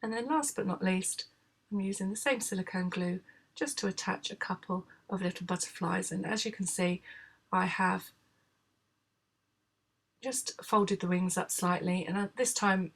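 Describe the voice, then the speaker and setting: A woman, hoarse from a cold, calmly explaining a craft project step by step in a tutorial voice-over, with frequent filler sounds. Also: lowish voice.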